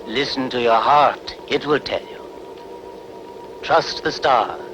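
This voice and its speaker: A man's voice: mid-range voice, slow pace, lightly acted. A man playing an earnest, solemn soothsayer who speaks insistently in a film dub. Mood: solemn prophecy. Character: earnest male soothsayer pleading before a queen. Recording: clearly noisy background.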